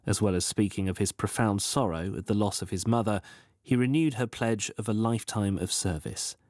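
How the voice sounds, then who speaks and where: Male news presenter, slightly deep, reading a bulletin in a tight dry studio sound. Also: fairly quick, darkish tone, dry recording.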